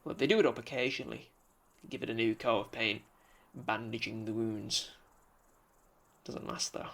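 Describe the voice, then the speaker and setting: An actor playing a pensive, solitary young man in a radio drama monologue, speaking in a reflective, halting, poetic way with a creaky voice. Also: fairly low pitch, fairly even intonation, a bit bright, full character voice. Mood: wistful.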